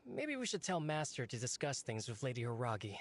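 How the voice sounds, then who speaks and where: An actor playing a worried, weary servant in a video game, talking in an anxious, complaining way. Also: male voice, medium pitch, very animated, a little fast, a little hiss or hum, lightly acted.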